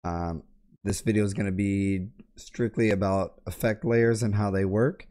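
A young man explains software step by step in a relaxed, casual way, his throat sore and scratchy from being sick. His voice sits a little low and sounds mellow and soft rather than bright. He is close to a boom mic in a home gaming room. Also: a little slow. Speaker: tech tutorial host. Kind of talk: casual screen-share tutorial. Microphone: boom mic close to face, headset on.

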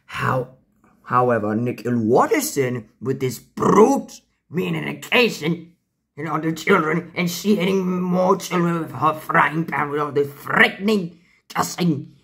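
A man voicing a jolly, boastful cartoon pirate fox with gravelly, halting, theatrical buccaneer talk. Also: on the high side, lively intonation, a bit bright, full character voice. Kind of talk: in-character fan Q&A voice lines.

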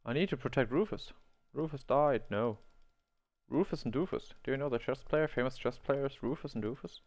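A man's voice: medium pitch, moderate pace, darkish tone. A man who is not a native English speaker gives rambling, think-aloud commentary while playing an online role-playing game, chuckling at his own mistakes. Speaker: gameplay video host.

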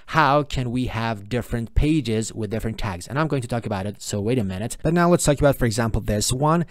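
A man whose first language is Persian walks viewers through a software tutorial, talking at an ordinary middle pitch. His explanations are patient and repetitive, full of "for example" and small hesitations. The recording is dry and close, with no room sound. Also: no room sound. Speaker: tutorial host.

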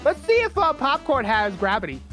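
A man co-hosting a game video with silly, excitable roleplay banter. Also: extremely high pitch, a little fast, soft background music. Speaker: gaming video co-host. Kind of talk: casual comic roleplay banter during gameplay.

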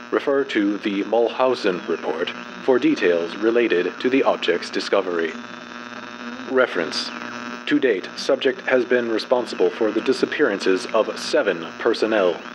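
Low-voiced male narrator over loud hum. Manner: formal, clinical, measured delivery. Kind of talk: dramatic scripted narration of a horror fiction file.

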